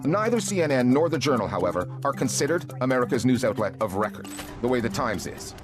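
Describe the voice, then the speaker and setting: A man narrating a news documentary in a measured, pointed, drily ironic scripted read. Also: mid-range voice, big pitch swings. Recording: voice-over over clear music.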